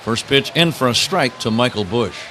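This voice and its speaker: A male radio play-by-play man calls baseball in a bright, forceful voice that sits a little low. His delivery swings widely in pitch as he follows the action, with steady outdoor ballpark ambience behind him. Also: moderate pace, slight background noise. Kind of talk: live radio play-by-play commentary.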